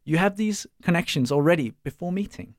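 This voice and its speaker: A young man, answering interview questions casually and pausing to search for words. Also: high-pitched, animated, dry recording, clean studio sound. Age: young adult. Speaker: startup co-founder and student.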